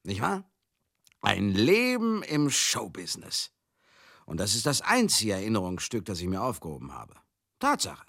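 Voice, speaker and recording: A rough-mouthed, sentimental showman in a radio play, with a lowish, bright voice that swings widely. He chats theatrically and excitably, close and dry. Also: normal speed, no echo, full character voice. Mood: chatty reminiscing. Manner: chatty, theatrical, swinging into outbursts. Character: garrulous, rough-mouthed old showbusiness man, sentimental and excitable. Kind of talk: German radio drama monologue.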